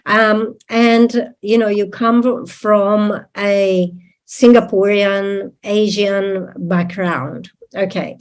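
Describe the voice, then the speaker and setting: A woman whose first language is not English, interpreting a Buddhist teaching in a deliberate manner and checking in with listeners. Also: medium pitch, steady tone. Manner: deliberate, with tag questions to listeners.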